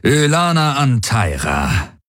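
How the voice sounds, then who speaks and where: A man's voice: medium pitch, very animated, slow, a bit bright, no room sound, voice pushed up. A male narrator acts a haughty alien military leader seething with anger. He speaks in raised, commanding tones with formal menace. The audiobook sound is close and dry. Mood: suppressed fury. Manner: commanding, formal, snorting contempt.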